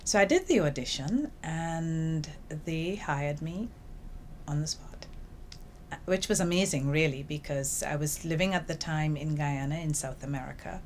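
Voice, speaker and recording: An older woman speaks with a Trinidadian accent in a low, warm-set register, telling her story in a lively way. A faint hiss sits under the recording from a small office. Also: unhurried. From Trinidad and Tobago. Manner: lively storytelling, acts out quoted remarks. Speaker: interviewee, UN information officer. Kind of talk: reflective interview answers.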